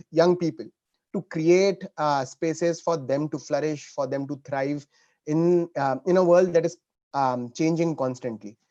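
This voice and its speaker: A man answering as a panel speaker, explaining in a halting way with repeats and rephrasing, on dull call audio. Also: on the high side, dark tone.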